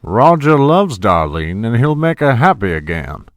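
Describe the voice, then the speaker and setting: An actor playing a scheming, ageing oil tycoon and patriarch in an audio drama, commanding and patronizing. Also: male voice, mid-range voice, very animated, unhurried, no echo, studio quality. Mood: defensive, scheming.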